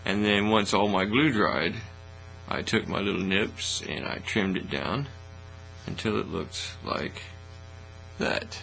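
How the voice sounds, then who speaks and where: A middle-aged man giving a casual, folksy, unhurried how-to demonstration at an indoor hobby bench. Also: on the low side, creaky, fairly bright tone, poor audio. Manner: folksy, unhurried step-by-step talk. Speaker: hobby craft tutorial host. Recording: faint mains hum and noise.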